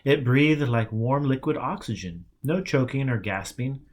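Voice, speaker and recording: A man's voice: medium pitch, lively intonation, measured pace. A man reads a novel aloud in a mid-range voice. He narrates in the first person as a storyteller. The delivery is scripted storybook reading. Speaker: audiobook reader. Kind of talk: storybook narration with acted dialogue.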